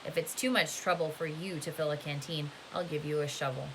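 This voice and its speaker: A woman's voice: low voice, steady tone, fairly quick, pitched below the performer's own voice. Lady reading as bossy prison camp warden woman, scary polite, controlled, bit of hiss on it. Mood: quietly threatening. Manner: controlled, polite but threatening. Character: soft-spoken, menacing, commanding woman prison-camp warden.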